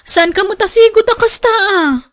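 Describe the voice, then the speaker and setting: A woman's voice: extremely high pitch, moderate pace, no room sound. A woman with a Filipino Ilocano accent playing an outspoken young single daughter in a radio drama. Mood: indignant.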